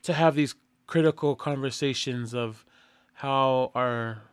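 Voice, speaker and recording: Animated man hosting a casual interview. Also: mid-range voice, slow pace, clean tone, no echo. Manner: animated and emphatic, with frequent "you know" and "right?". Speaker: host.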